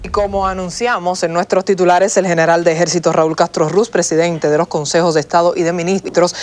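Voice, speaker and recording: A news anchor reading headlines in a formal broadcast style. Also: medium pitch.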